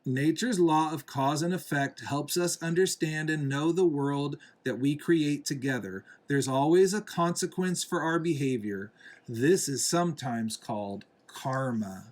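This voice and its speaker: A man, a father, reading a picture book aloud to a young child in a deliberate, gently explaining, instructive way. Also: a little high. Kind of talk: read-aloud storybook narration with asides to a young child.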